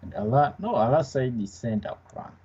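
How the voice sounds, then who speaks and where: A man calling in to a debate show, calmly sticking to his point. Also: medium pitch, a little fast, slight background noise. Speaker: caller. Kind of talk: call-in debate. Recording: dull lo-fi call line.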